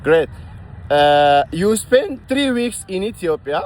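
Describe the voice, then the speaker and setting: A man hosting an interview, giving a formal welcome and then asking halting questions with many fillers. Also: high voice, big pitch swings, darkish tone, slight background noise. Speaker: interview host.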